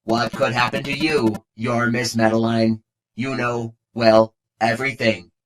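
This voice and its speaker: A man's voice: mid-range voice, little pitch movement, a little slow, close to an everyday voice. A man playing a skeptical, bookish student mage with a grudging manner in a game fan dub. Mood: puzzled, dry.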